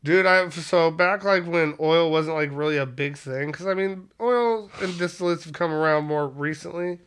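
A man's voice: on the high side, normal speed, fairly bright tone, clean tone. A man in his thirties co-hosting a podcast in a rambling, laid-back way, swearing constantly and laughing often. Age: 30s. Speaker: podcast co-host. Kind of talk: casual stoned chat.

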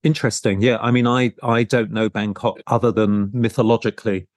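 A man's voice: lowish voice, steady tone, a little fast, a little mellow, no room sound, studio quality. A man hosting a conversational interview podcast as a lecturer, talking in a rambling, discursive way and often rephrasing himself as he goes. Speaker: podcast host and art business lecturer.

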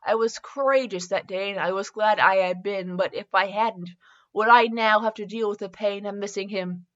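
A woman's voice: mid-range voice, moderate pace, a bit bright, highs cut off, voice pushed down, natural voice. A woman reading dramatic fan fiction as a lovestruck young male writer, earnest and wistful. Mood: wistful, grieving.

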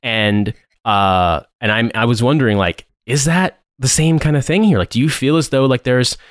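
A man's voice: medium pitch, normal speed, dry recording, clean studio sound. A man from the American Midwest with a general American accent, co-hosting a podcast in casual, rambling chat full of restarts. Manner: rambling, restarts often, says "like" a lot. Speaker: podcast co-host.

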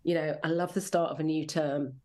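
Low-pitched English woman, chatty. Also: fairly even intonation, fairly quick, darkish tone. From rural Devon, England. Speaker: interview guest, children's drama commissioning editor. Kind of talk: casual reflective interview chat.

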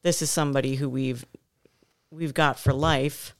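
A woman's voice: extremely low pitch, fairly even intonation, normal speed, no echo, clean studio sound. A woman on the gym staff chatting casually on a podcast, telling a story at length.

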